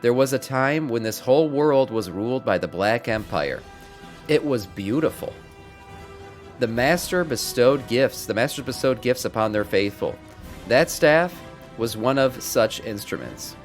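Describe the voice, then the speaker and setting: A man's voice: medium pitch, animated, a little slow, faint music behind, lightly acted. A man reading aloud the game dialogue of a grave, ominous orc in a solemn, portentous manner. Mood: ominous reverence. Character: grave, ominous male orc warning of cosmic schemes.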